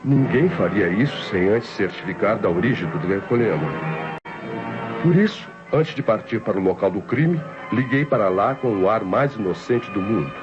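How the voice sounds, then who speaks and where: An actor playing a scheming, nervous nephew who narrates theatrically in an old Brazilian radio drama. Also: male voice, lowish voice, lively intonation, normal speed, lightly acted. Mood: calculating. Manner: theatrical, tense storytelling. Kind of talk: dramatic first-person radio narration, old-time acting. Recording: dull old radio recording with music.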